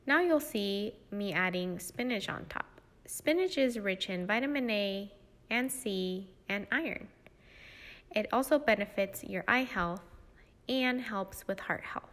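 A young woman hosts a cooking demonstration in a medium-pitched voice. She talks through each step in an explanatory, instructional way. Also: expressive, a little slow, a bit bright. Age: young adult. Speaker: cooking demo host, health educator.

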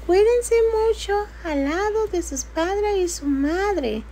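A woman's voice: very high voice, animated, takes it slowly, mellow, a little hiss or hum, exaggerated voice. A woman voicing a small childlike cartoon character who lectures young children in a sing-song, admonishing way on a pretend phone call. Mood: kindly advising. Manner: sing-song, admonishing, addressing a little friend.

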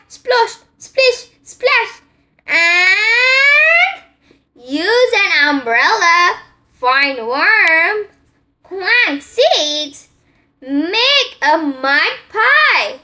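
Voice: very high voice, sharp tone. A child host reading a picture book aloud for a children's channel.

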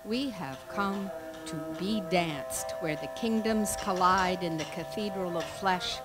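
An older woman giving a dramatic spoken-word poetry recitation with deliberate, incantatory phrasing. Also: lowish voice, over loud music. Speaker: poetry reader. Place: dark theatre stage. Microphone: handheld-type microphone on a stand, close.

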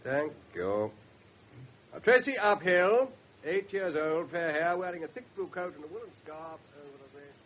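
An actor with an English accent playing a brisk, sarcastic, overworked police detective inspector in a radio drama, curt in manner. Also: male voice, on the high side, lively intonation, fairly bright tone. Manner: sardonic, curt. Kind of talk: 1970s radio drama acting. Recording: slightly muffled 1970s radio broadcast.

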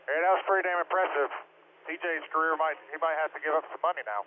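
A man's voice: a little high, steady tone, fast, narrow, tinny audio, background noise. A man working on a race crew, talking to his driver over team radio.

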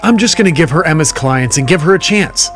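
Casting: hot-tempered male boss, petty and scornful, audio drama with soft music underneath. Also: on the high side, lively intonation, brisk. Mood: curt.

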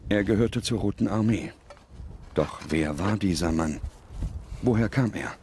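A man reading scripted documentary narration in a solemn, measured manner with dramatic pauses. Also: lowish voice, slight background noise. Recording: loud music under the voice. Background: music.

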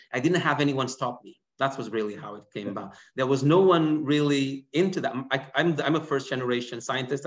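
A man in his thirties, originally from Portugal, answering audience questions as a guest biologist in an online lecture, talking animatedly. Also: medium pitch. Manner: animated, gesturing while explaining. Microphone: laptop webcam mic on video call. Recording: dull lo-fi video call audio.

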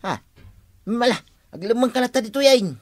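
A lively, swooping village husband, exasperated and put-upon. He grumbles his way through the lines in radio comedy style. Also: extremely high pitch, moderate pace. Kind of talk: Ilocano radio comedy drama acting.

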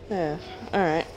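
A woman with a deep voice, chatting casually as she plays a game on stream, joking at herself and humming while she searches. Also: noisy background. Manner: chatty, self-mocking, giggly, hums while searching. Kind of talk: casual gameplay commentary.

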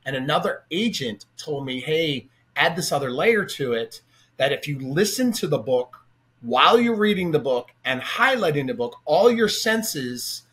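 A man's voice: on the high side, lively intonation, normal speed, a bit bright. A middle-aged man hosting a podcast, chatting energetically with a guest over a video call from his home office. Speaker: podcast host. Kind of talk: casual interview chat. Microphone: webcam on a video call.

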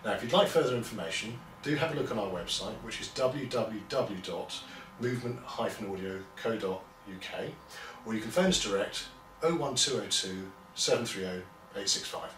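A middle-aged man with an English accent gives a measured, explanatory product review to camera as a shop presenter, pausing between phrases. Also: lowish voice, expressive, fairly bright tone, soft background music. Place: small indoor showroom with bare walls. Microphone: camera a few metres away. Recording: roomy, distant mic, clear background noise.

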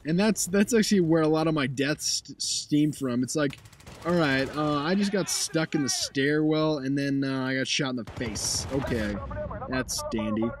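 A hoarse young man commenting on his own gameplay footage, blunt and sarcastic, swearing now and then. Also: on the high side, darkish tone. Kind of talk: casual game commentary over footage.

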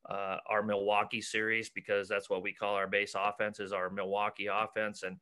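Bright-voiced middle-aged American coach. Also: male voice, on the low side, monotone, moderate pace. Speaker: basketball coach and clinic organizer. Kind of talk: casual video-call interview. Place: cluttered room at home, on a video call. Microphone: video call.

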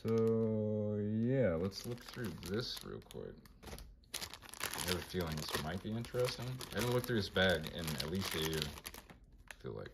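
A man with a monotone voice casually talking through his card collection, mumbling names as he flips through binders. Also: low-pitched, measured pace, gravelly, background noise. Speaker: collector vlogger.

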